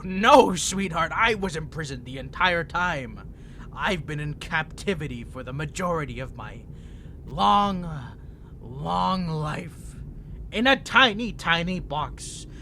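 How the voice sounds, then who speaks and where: Cocky, unhinged vampire, high bright voice. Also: male voice, a little hiss or hum, exaggerated voice. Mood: bitter, brooding. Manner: taunting, flirtatious, theatrical, with mock-sweet endearments. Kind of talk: scripted character roleplay audio.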